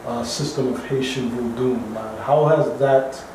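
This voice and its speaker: A man's voice: mid-range voice, fairly even intonation, measured pace. A man interviewing an author, asking long questions with many "uh" and "you know" fillers. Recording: echoey far-off room, faint noise.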